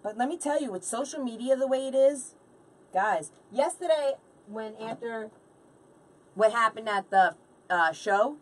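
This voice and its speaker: A woman's voice: fairly high pitch, distant mic. A woman in her late forties chatting casually and informally as she hosts a craft livestream, bantering with viewers and a helper. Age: late 40s. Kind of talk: casual live chat while crafting.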